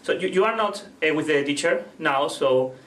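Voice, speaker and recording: Spanish guy teaching spanish on video, kinda sick, bit high voice, stops and fixes his english a lot. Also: lively intonation, fairly bright tone. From Spain. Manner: explains in halting, self-correcting English. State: sick, with a cough. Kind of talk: casual video language lesson.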